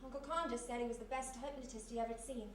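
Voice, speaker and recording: A roomy, far-off live recording of an actress playing a sharp-witted American woman sidekick in an old-time radio mystery. She talks fast and insistently, with stubborn, slangy urgency, over faint noise. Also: on the high side, steady tone, close to an everyday voice. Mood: hushed, ominous. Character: quick-thinking, stubborn young woman, detective's sidekick. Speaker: actor. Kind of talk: 1940s-style radio mystery acting, live. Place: live performance in a historic house.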